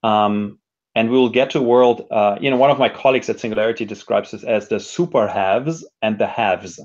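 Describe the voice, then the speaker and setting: Slightly muffled man, reflective and halting. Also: lowish voice, a little mellow. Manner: reflective, hesitant, frequent self-restarts and hedging. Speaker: interview guest, technology educator and speaker. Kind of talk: thoughtful conversational interview.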